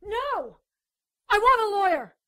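A woman's voice: very high-pitched, big pitch swings, slow, sharp tone, no echo, heavily acted. A woman playing a feisty, outspoken doctor who also narrates her supernatural case in a radio drama. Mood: defiant. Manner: defiant, outspoken. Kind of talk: radio drama acting with first-person narration.

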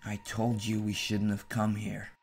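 A man's voice: lowish voice, monotone, measured pace, vocal fry. A man voicing an exasperated, worried teenage prince, a cautious older brother in a cartoon comic dub, grumbling and anxious. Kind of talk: animated comic dub voice acting.

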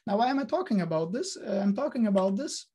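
A man's voice: high-pitched, animated, fast, a little mellow, husky. A man giving an online lecture over a video call, hesitant, with many fillers and restarts.

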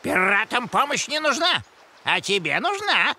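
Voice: medium pitch, very animated, a little slow, bright, forceful tone, exaggerated voice. An actor voicing a bossy, easily flustered squid pirate villain in a children's cartoon, theatrical and gloating. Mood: menacing, gloating. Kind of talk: exaggerated children's cartoon voice acting. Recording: music behind the voice.

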